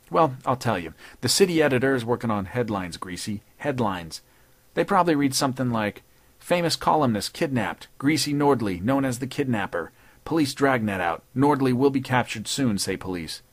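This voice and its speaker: Wisecracking columnist guy, a man doing the voice, smug and needling, mid pitch, dry. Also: expressive, moderate pace, dry recording, lightly acted. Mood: taunting. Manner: taunting, sardonic. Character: cocky wisecracking male newspaper columnist.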